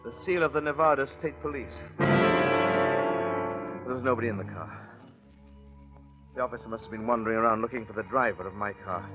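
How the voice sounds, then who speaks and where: An actor playing a smooth-talking impostor and killer in a 1940s radio suspense drama, talking in a glib, confiding way. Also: male voice, mid-range voice, moderate pace, pauses often, natural voice. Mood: tense, confessional. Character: smooth-talking impostor and cold-blooded murderer narrating his crime. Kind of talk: 1940s radio suspense acting, confessional narration. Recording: dull 1940s radio recording with music.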